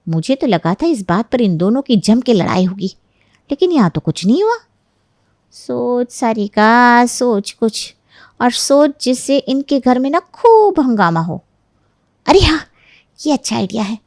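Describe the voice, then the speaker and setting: A woman's voice: fairly high pitch, big pitch swings, measured pace, a little mellow, no room sound, studio quality. A woman playing a jealous young married neighbour, falsely sweet and sly, in a dramatized moral story. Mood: scheming. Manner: sly, insinuating, mock-hesitant.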